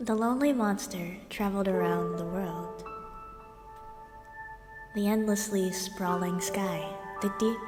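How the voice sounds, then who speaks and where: A solemn female storyteller, performed by a woman narrates at a slow, measured pace like a storybook reading. Her mid-pitched voice echoes with long reverb under loud music. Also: lightly acted. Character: solemn female storyteller of a lonely monster fable.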